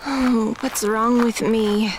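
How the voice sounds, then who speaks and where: A woman playing an anxious, self-doubting teenage girl in an audio drama, halting and nervous. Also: fairly high pitch, steady tone, background noise. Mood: self-reproachful.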